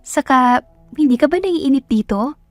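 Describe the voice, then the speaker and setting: A woman's voice: sits high, expressive, normal speed, no room sound, faint music behind, voice pushed up. A woman voicing a naive, earnest young country woman, polite and plain-spoken, in a romance audio drama. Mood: bored.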